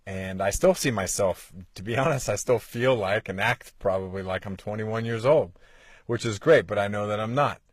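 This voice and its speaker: A man's voice: lowish voice, moderate pace, no room sound, clean studio sound. A man in his forties hosting a motivational podcast, urging listeners on with earnest rhetorical questions. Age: 40s. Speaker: podcast host.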